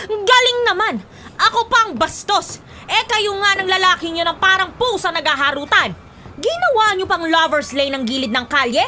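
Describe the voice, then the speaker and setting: A woman's voice: very high voice, sharp tone, a little hiss or hum, exaggerated voice. A woman playing a strict, easily outraged spinster aunt who scolds indignantly in a Filipino radio drama.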